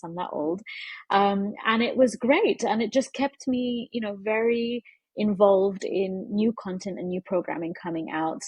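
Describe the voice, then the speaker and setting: A woman who grew up in London, answering interview questions in an earnest, measured way with frequent fillers. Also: mid-range voice, darkish tone, slightly rough, dry recording. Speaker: interview guest, media executive.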